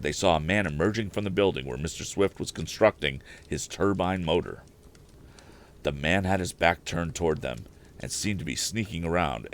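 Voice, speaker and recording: Very deep-voiced man reading a story, animated. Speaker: storyteller, live stream host. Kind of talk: expressive audiobook-style reading of an old adventure novel.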